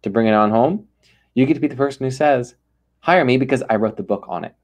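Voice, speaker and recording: Energetic, emphatic man as interview guest. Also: medium pitch, fast talker. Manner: energetic, emphatic, acts out quotes. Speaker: ghostwriter guest. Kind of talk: podcast interview.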